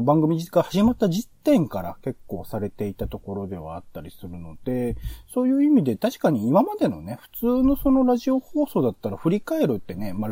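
A man's voice: mid-range voice, dark tone, no echo. A man co-hosting a casual radio-review podcast, explaining at length in long, linked, rambling sentences. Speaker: podcast co-host. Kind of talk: casual discussion of radio shows.

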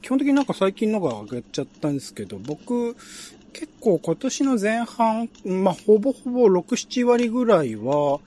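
A man's voice: high voice, big pitch swings, normal speed, dark tone, a little hiss or hum. A man talking casually and conversationally as co-host of a radio show. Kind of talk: casual chat.

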